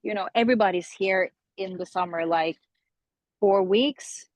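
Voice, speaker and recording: A middle-aged woman from Norway with a Norwegian accent, a native Norwegian speaker chatting casually on a video call and often pausing to search for English words. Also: medium pitch, no echo. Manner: halting, searching for English words with many fillers. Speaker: caller in an online office-hours session.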